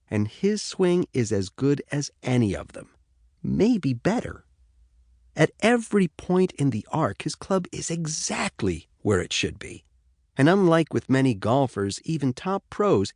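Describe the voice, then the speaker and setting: A man's voice: medium pitch, no echo. An American man reading first-person fiction as an audiobook narrator, in a studio. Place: dry studio.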